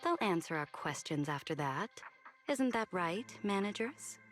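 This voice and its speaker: A woman voicing a composed, smooth-talking woman in a video game. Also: fairly low pitch, animated, a little slow. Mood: calm. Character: composed, poised woman, cool and knowing. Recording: game audio under music.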